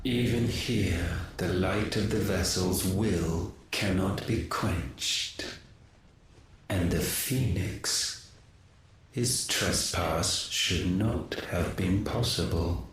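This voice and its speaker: A man playing an ancient godlike villain in a video game, cold and grandiose, declaiming slowly in solemn archaic phrases. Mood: grave astonishment. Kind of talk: dramatic video game cutscene acting. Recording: very echoey with faint rumbling noise.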